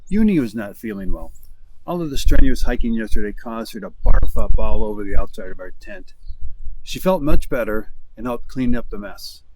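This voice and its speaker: A man's voice: on the low side, lively intonation, normal speed, dark tone, slight background noise. A middle-aged man with an American accent narrating his long-distance hike in a wry, understated storytelling voice. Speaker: hiking vlogger. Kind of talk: scripted travel narration.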